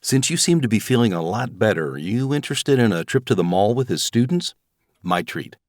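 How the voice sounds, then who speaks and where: A man acts a welcoming middle-aged dad in an audio drama. His voice is slightly low and animated, polite and hospitable, recorded dry and close. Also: a little fast, no echo. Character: genial, welcoming middle-aged suburban American father.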